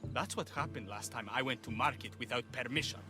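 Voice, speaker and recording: An actor playing a downtrodden, wry farm labourer in a video game cutscene. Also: male voice, medium pitch, brisk, over music, lightly acted. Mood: bitter, blunt.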